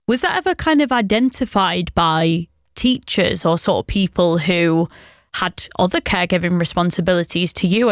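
A woman interviewer asking gentle, probing questions. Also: lowish voice, dry recording, clean studio sound.

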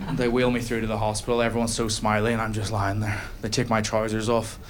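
A young man telling a stand-up comedy story to a live audience, with self-deprecating, deadpan asides. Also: on the low side, quick pace, a loud crowd.

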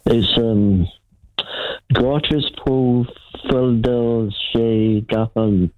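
A man's voice: medium pitch, steady tone, drawn-out words. A man calling into a radio show, rambling playfully through a story. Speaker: caller. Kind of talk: casual phone-in chat. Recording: tinny phone line.

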